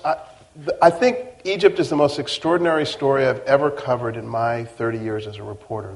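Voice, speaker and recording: A man in his fifties, a veteran journalist on a panel, speaking deliberately and building his points slowly. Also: mid-range voice. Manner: deliberate, slow to build, with restarts. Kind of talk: measured panel talk. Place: lecture hall on stage.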